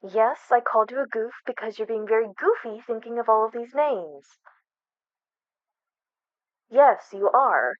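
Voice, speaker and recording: A woman's voice: fairly high pitch, lively intonation, unhurried, long pauses, no echo, lo-fi sound. A young woman acting in an ASMR roleplay as a flustered best friend hiding a crush, speaking casually with hesitant stammers. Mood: playful teasing. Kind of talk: ASMR roleplay, one-sided conversational acting.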